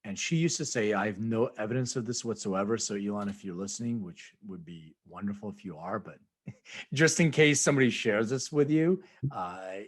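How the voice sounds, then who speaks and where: Philadelphia man co-hosting a podcast, somewhat low voice, relaxed anecdotes that fade out. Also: moderate pace. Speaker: podcast co-host. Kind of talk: casual podcast chat.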